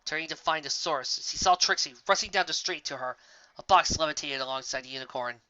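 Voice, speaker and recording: A man's voice: fairly high pitch, a little fast, bright, forceful tone, no room sound, lo-fi sound. A man reading scripted story narration, now and then stumbling and rereading a word. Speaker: fan fiction reader.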